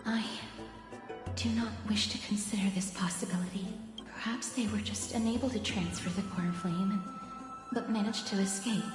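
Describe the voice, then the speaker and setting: A woman playing an earnest, polite young companion in a game, speaking in a measured, formal way. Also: medium pitch, steady tone, close to an everyday voice. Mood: earnest, troubled. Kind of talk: game character dialogue. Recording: cavernous echo, loud game music behind.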